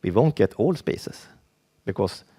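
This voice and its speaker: A Swedish man with a Swedish accent, giving a conference presentation in English as a second language, halting and full of restarts. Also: fairly low pitch, lively intonation, gravelly, warm tone, breathy edge. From Sweden. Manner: halting, with frequent restarts and fillers. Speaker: conference speaker.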